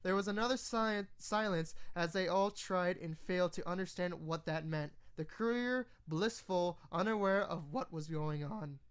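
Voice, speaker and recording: Woman, lowish voice, story narration, halting delivery, fan fiction reader. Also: clear, resonant tone.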